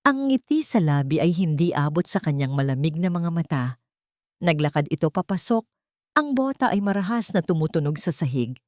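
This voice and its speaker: Expressive woman narrator, slightly low voice. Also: dry recording, studio quality. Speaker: story narrator. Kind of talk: dramatic scripted narration.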